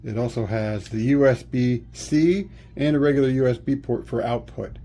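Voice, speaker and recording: A man's voice: mid-range voice, moderate pace, warm tone. A man giving a casual product demonstration, explaining it plainly step by step. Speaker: product reviewer. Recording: faint background noise, room ambience.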